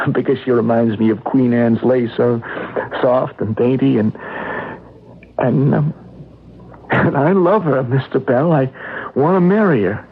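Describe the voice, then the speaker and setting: A man playing a shy, earnest, lovestruck young man in a radio drama, halting and stammering. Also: mid-range voice, slightly rough. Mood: excited, lovestruck. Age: young adult. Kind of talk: radio drama acting and narration. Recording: tinny, noisy old radio recording.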